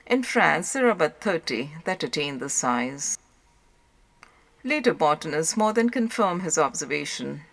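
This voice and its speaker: Woman reading an audiobook, pretty deep voice, formal and measured literary narration. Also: fairly bright tone.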